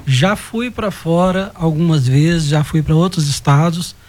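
A man's voice: fairly high pitch, measured pace, darkish tone. Modest man in his fifties. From Goiânia, Brazil. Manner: modest, a little nervous about public speaking. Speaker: radio guest.

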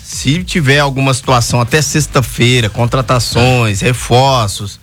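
A man's voice: medium pitch, fairly even intonation, unhurried, a bit bright, soft background music. A man, a radio co-host who chimes in and questions the others. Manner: chiming in, skeptical. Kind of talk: casual sports talk banter.

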